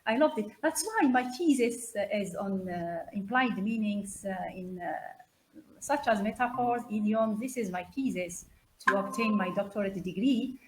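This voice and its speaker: Halting woman on a noisy call. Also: mid-range voice, animated. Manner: halting, repeats words for emphasis. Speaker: guest expert, English teacher trainer. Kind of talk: informal webinar discussion.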